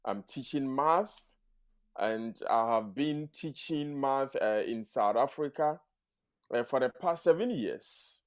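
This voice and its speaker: A man, a math teacher talking as a podcast guest in an earnest, measured way, using full formal sentences. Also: mid-range voice, lively intonation. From Ghana. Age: 30s. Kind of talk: podcast interview.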